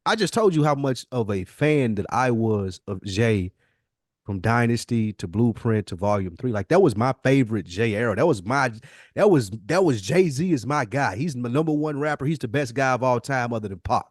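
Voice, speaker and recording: A man's voice: mid-range voice, animated, moderate pace, dry recording, studio quality. Emphatic man in casual slangy hip-hop talk. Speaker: podcast co-host.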